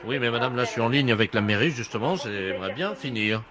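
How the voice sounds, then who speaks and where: A man's voice: medium pitch, expressive, quick pace, a loud crowd, close to an everyday voice. A man playing a harried, self-important official on a prank call, speaking in formal phrases with growing exasperation. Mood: exasperated.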